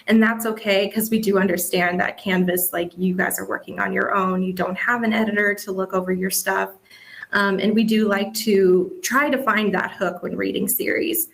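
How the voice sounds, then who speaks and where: A woman's voice: mid-range voice, a bit bright. Casual woman explaining in a Q&A. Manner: informal, with frequent "like" and "you know". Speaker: webcomic editor giving advice.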